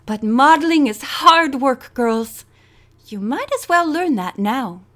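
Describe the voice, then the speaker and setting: A woman's voice: high-pitched, animated, takes it slowly, a bit bright, exaggerated voice. A woman voicing an elegant French former model in her thirties, giving a formal speech with a faint French accent. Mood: brisk, instructive. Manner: formal, poised speech-making.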